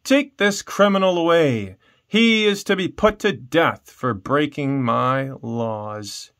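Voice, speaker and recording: A man voicing a proud, stern Roman emperor from a storybook, quick to anger, with an emphatic, commanding delivery. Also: a little high, expressive, a bit bright, exaggerated voice. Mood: angry.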